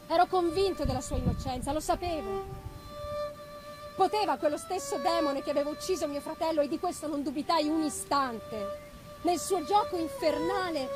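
A woman's voice: high-pitched, lightly acted. A woman voicing a tormented, guilt-ridden man in an intense, declamatory gothic novel reading. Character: tormented man narrating his guilt and anguish. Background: live music.

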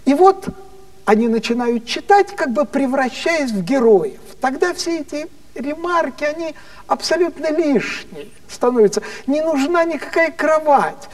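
Genteel male director acted by a male storyteller, raised high voice, eager improvising pitch, distant microphone. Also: lively intonation, measured pace. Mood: inspired, coaxing.

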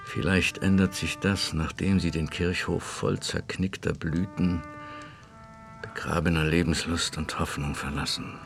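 An actor playing a loyal, dutiful middle-aged official in a German radio play, speaking in a measured, reserved, deliberate way to an old friend. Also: male voice, very deep voice, steady tone, creaky, faint music behind, full character voice. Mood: solemn reading.

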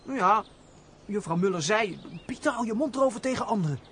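A man's voice: sits high, expressive, fairly quick, fairly bright tone, slight background noise. An actor playing a naive, chatty young man, a steward's simple son, in a radio play.

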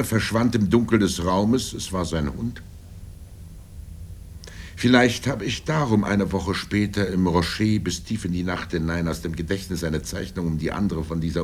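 An older man reading literary first-person prose in a grave, deliberate manner with dramatic pauses. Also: breathy edge. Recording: low rumble and background noise.

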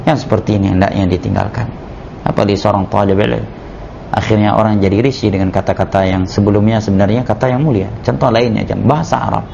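A man, an Islamic teacher, giving a measured religious study lecture that is punctuated with "ya" and "nah.". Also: fairly low pitch, vocal fry, darkish tone, a little hiss or hum. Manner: measured, repeats "ya" and "nah" as he explains. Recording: muffled lo-fi lecture recording.

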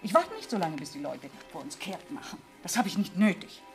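A middle-aged society aunt in a radio drama. Her low voice is fussy and insistent, that of a woman guarding her status and dodging awkward truths. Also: big pitch swings, fairly quick, faint music behind. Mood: agitated, indignant. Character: wealthy, status-anxious aunt, brisk and evasive. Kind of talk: German radio play acting.

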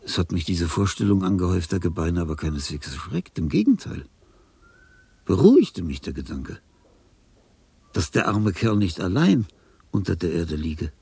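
An actor playing a lonely, dying, famous old composer in a radio drama, reflective and pompous, with a husky, gravelly voice. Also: male voice, deep voice, very animated, dark tone, full character voice. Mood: reminiscing. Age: older. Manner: declamatory, pompous, pausing, emphatic.

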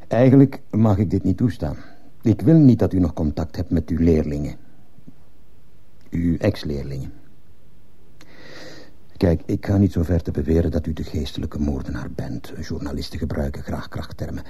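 A man playing a stern, formal school headmaster in a radio drama, speaking in a measured, officious way. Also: a bass voice, dark tone, slightly rough. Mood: coldly reproving. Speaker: actor in a radio play. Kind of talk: Dutch-language radio drama acting.

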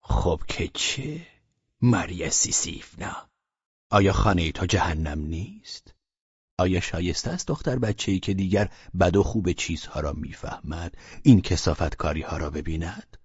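A man voicing a blunt, scolding older landlady, low and slow. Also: expressive, husky, no echo, dull audio, exaggerated voice.

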